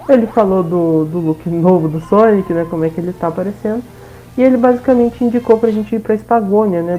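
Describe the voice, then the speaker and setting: A woman's voice: on the low side, mellow. Brazilian woman, dull lo-fi gaming recap. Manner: informal, checks in with listeners often. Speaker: gaming video host. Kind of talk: casual let's play voice-over recap. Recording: dull lo-fi voice-over.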